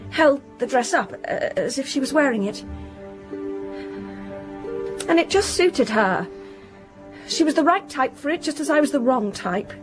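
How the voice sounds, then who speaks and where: A high-pitched young woman from a well-to-do family in a radio play. She is proud but stricken with guilt. Her delivery is earnest and defensive, faltering as she explains herself. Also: rough recording. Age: young adult. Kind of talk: radio drama acting.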